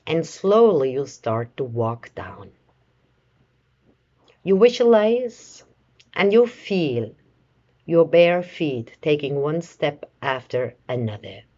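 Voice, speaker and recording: A woman leading a guided meditation in a soothing, measured way, with long pauses between short phrases. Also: low-pitched, animated, a little mellow, dull audio. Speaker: meditation guide, life coach.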